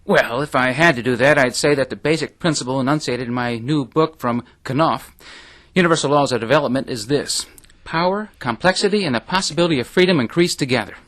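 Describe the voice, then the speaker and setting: An earnest, long-winded author of grand theories played by a man in an audio drama. He explains at length in a medium-pitched voice, like a scholarly interview guest. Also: brisk, fairly bright tone, natural voice. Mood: earnest explaining.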